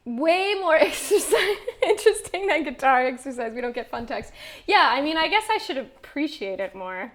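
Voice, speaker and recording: A woman's voice: sits high, sing-song, normal speed. A young woman, a classical singer, chatting casually and laughing at herself while practicing on a stream. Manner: chatty, self-mocking, laughs often.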